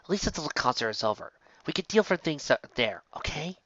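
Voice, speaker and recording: Male reader doing a quiet, steady young mare musician for a storybook; hushed, lo-fi. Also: low voice, fast talker, dry recording, voice pushed up, exaggerated voice. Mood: coaxing. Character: determined, soft-spoken young mare musician. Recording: dull, lo-fi home recording.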